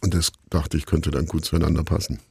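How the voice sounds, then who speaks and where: A middle-aged man being interviewed as a radio drama maker, telling stories in a musing, halting, self-deprecating conversational way. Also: a bass voice, flat delivery, gravelly, darkish tone, breathy edge, no echo, studio quality. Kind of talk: reflective conversational storytelling in an interview.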